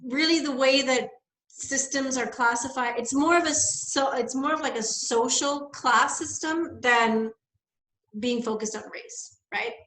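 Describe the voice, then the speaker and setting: A young woman from the Bahamas tells a personal story in a relaxed, reflective way, with lots of fillers as she thinks aloud. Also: fairly high pitch, roomy sound, low-quality recording. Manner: reflective, rambling, many fillers. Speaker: guest. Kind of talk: casual conversation, personal story.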